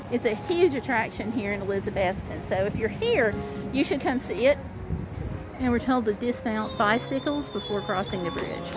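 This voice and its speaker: A middle-aged woman narrating an informal sightseeing tour as a travel vlogger, reading out signs and pointing out sights. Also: on the high side. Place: outdoors on small-town streets at night. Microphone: handheld camera at arm's length.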